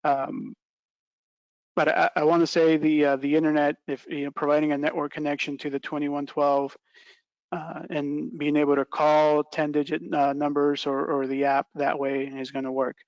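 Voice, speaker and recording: A man's voice: on the high side, little pitch movement, normal speed, darkish tone, no echo, highs cut off. A middle-aged man giving an informal product presentation on a video call, explaining step by step and checking in with "right" and "okay". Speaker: product trainer presenting a webinar. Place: small plain indoor room, video call.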